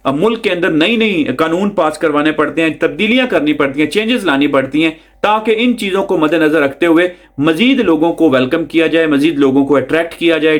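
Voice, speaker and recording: Male vlog host, notably high voice, conversational explainer delivery, emphatic repetition, speaks directly to viewers. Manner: explanatory, repeats phrases for emphasis, addresses listener directly. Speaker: video host.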